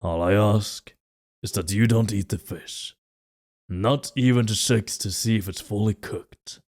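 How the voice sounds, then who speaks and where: A man playing a solemn, commanding king in a fantasy audio drama, speaking in a formal, measured, authoritative way. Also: lowish voice, a little mellow. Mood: solemn, regal.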